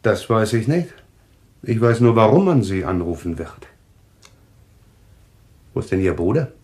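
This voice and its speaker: Male actor as enigmatic doom prophet, fairly deep voice, deliberate, composed, radio play. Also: big pitch swings, darkish tone. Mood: calm, taunting. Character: calm, eerily taunting man claiming visions of deaths. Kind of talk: German radio thriller acting.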